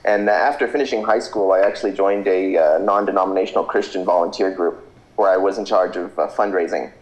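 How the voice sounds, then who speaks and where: A man's voice: deep voice, steady tone, brisk, reverberant, rough recording. A man on a video call, answering interview questions casually with frequent hesitations and restarts. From Philippines. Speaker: Skype guest.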